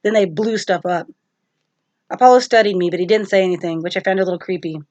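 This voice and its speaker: A woman's voice: fairly low pitch, fast talker, darkish tone, no room sound, lo-fi sound. A woman teacher reading a storybook aloud as narration for children.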